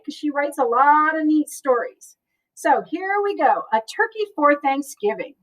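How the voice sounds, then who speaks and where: A woman's voice: high voice, far from the mic, low-quality recording. A woman reading a storybook aloud to children, with casual asides to her listeners.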